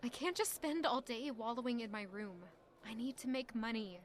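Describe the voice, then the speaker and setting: A woman's voice: a little high, animated, moderate pace, lightly acted. An actress playing a stubborn, guarded young servant woman in a castle audio drama, curt and sarcastic beneath formal politeness. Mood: defensive, curt. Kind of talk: audio drama acting with first-person narration.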